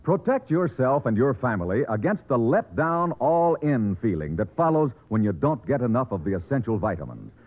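American man doing old radio ad, husky but really animated, tinny narrow vintage sound. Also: medium pitch. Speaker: commercial announcer. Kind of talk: vintage radio advertisement, scripted announcing. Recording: muffled vintage radio broadcast, narrow band.

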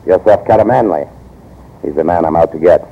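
An actor playing a bold, headstrong young American consul in old-time radio drama, cocky, forceful and plain-spoken, with an American accent. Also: male voice, low-pitched, fairly quick, husky. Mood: eager, insistent. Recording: dull vintage radio recording.

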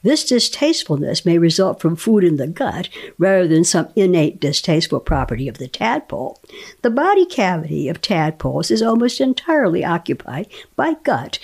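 A woman doing a scripted reading of a scientific paper in a formal, deliberate way. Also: fairly low pitch, darkish tone, husky. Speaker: dramatic reader of research papers.

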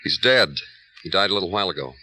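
An actor playing a terse, world-weary police detective with a clipped, dry, brooding delivery in an old radio noir drama. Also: male voice, low voice, animated, fast talker, sharp tone, heavily acted, poor audio. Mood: somber, probing. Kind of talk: old-time radio noir narration and dialogue.

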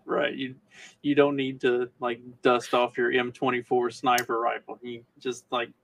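Male co-host, mid-pitched with a creaky, gravelly edge, loose dry humour in casual chat. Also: little pitch movement, a little slow, a bit bright, no echo. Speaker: podcast co-host.

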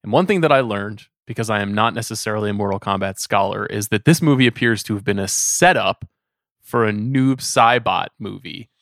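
A man's voice: lowish voice, fairly quick, a bit bright, no echo. Man hosting a podcast, close dry sound. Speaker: podcast host. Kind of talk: casual podcast discussion.

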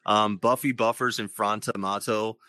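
A man in his twenties who hosts a podcast, with a deep radio voice, chatting casually and laughing often. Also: steady tone, moderate pace, sharp tone, no room sound. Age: 20s. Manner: joking, laughs often between phrases. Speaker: podcast host. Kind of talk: casual chat.

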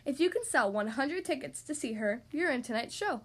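A woman's voice: sits high, expressive, normal speed, fairly bright tone. A woman voicing a demanding, showy circus ringmaster from a children's storybook with commanding, grandiose delivery. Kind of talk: children's storybook read-aloud.

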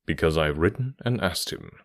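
Guy doing calm bossy detective for an audiobook, very low voice, assured n stern, dry sound. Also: brisk, gravelly, no room sound, full character voice. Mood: calm assurance. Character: calm, authoritative, stern male English detective.

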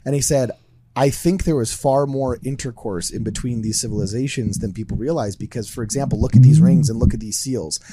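A man co-hosting a podcast, retelling a story in an animated way and teasing his co-host. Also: mid-range voice, a little fast, a little hiss or hum. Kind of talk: casual podcast chat.

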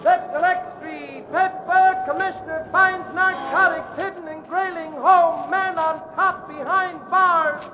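Shrill, high American newsboy cry. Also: male voice, fairly even intonation, unhurried, long pauses, faint music behind. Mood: urgent hawking. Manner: calling out, singsong. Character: newsboy hawking papers in singsong street cry. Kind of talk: 1940s radio acting. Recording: dull vintage radio recording.

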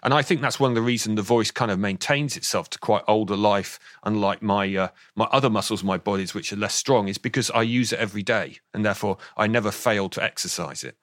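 A man in his fifties with a slightly croaky voice, explaining thoughtfully as an interview guest, often restarting his sentences. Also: lowish voice, fast, few pauses, dry recording, clean studio sound. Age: 50s. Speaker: author and guest. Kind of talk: interview on voice science.

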